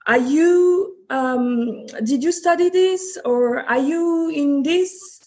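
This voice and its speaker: Slow, halting woman. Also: high voice, darkish tone. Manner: halting, many restarts and fillers. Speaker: panelist. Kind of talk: discussion panel.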